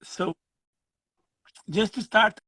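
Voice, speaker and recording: A middle-aged man from Brazil, a guest musician telling his story in a casual interview over a video call, halting and restarting his phrases. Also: high voice, sharp tone, breathy edge, no room sound, clean studio sound.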